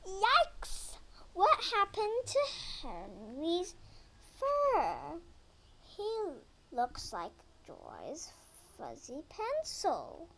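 A young girl reads a children's picture book aloud at a very slow, careful pace. Her voice is high and lively in pitch, and she sometimes trips over a word and starts it again. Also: noisy background. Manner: slow careful reading with some stumbles. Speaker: reader.

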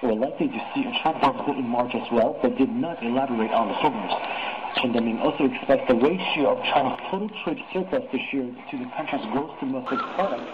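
A man reads scripted news at a brisk pace in a mid-pitched voice. The sound is a dull shortwave radio broadcast with clear noise. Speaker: news reader.

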